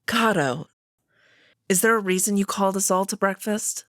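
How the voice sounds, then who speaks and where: A woman voicing a cautious, polite royal female wolf who speaks in a measured way. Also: medium pitch, fairly bright tone, no echo, clean studio sound, lightly acted. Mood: careful.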